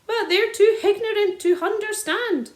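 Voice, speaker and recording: A woman's voice: very high-pitched, a little slow, pitched above the performer's own voice. A woman voicing a jovial, old-fashioned country police sergeant who drops his h's. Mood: hearty. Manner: dropped h's, rustic dialect. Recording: roomy echo.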